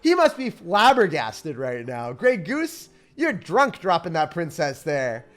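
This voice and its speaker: A man's voice: fairly high pitch, huge pitch swings, unhurried, fairly bright tone. A young man giving hyped, playful, slangy commentary over gameplay as a video host. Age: young adult.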